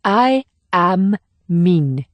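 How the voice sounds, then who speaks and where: A woman playing a gentle, guarded young woman operative in audiobook dialogue, speaking in a measured, instructive way. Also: fairly low pitch, big pitch swings, no room sound, heavily acted. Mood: patient, gentle.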